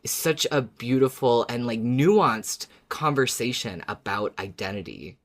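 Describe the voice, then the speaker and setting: A man co-hosting a casual fan discussion podcast, talking earnestly and haltingly and repeating words for emphasis. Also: mid-range voice, animated. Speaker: podcast co-host.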